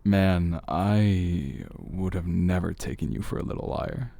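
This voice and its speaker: A man performs a confident, flirtatious big brother in an intimate ASMR roleplay. His voice is deep and dark with a crackly fry. He talks in a teasing, familiar way, like someone close by. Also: no room sound. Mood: teasing, smug.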